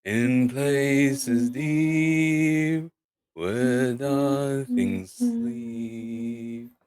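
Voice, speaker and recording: A man voicing a solemn dwarf who sings in slow, drawn-out, off-key chant, in an amateur storybook performance. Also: medium pitch, lively intonation, a little mellow, no room sound, soft background music, pitched above the performer's own voice. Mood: solemn singing. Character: band of deep-throated male dwarves singing solemnly.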